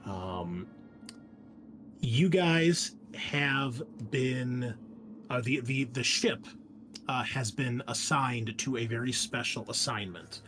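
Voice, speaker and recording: A man's voice: mid-range voice, slow pace, over music. A man hosting and running a tabletop game in a casual, enthusiastic, sweary, conversational way. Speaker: game master and host.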